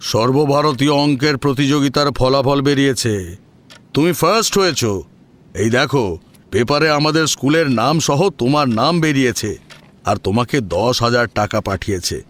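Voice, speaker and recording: Man acting a grave, expressive school headmaster. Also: medium pitch, normal speed, lightly acted. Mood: proud, grave. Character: grave, kindly male school headmaster praising his pupil. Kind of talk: Bengali audio story dramatization.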